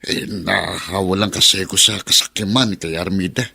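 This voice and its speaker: An actor playing a hesitant, wheedling middle-aged man making peace with relatives in a radio drama, gravelly and halting. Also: low-pitched, steady tone, sharp tone, exaggerated voice. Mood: apologetic, pleading. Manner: halting, placating.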